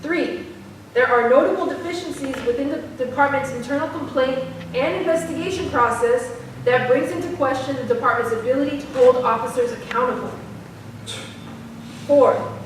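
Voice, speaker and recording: A woman's voice: high-pitched, natural voice. A woman playing a young activist who raps, in a staged reading recorded from a distance in a hall. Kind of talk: staged reading, part report part casual dialogue. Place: theater stage at a live reading. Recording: echoey hall from far, faint noise.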